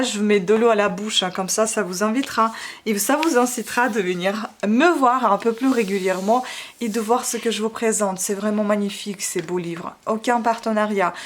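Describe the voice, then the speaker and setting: A woman's voice: medium pitch, a little hiss or hum. A woman whose first language is not French, hosting her channel and casually presenting her storybook collection, with frequent fillers and self-corrections. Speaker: channel host.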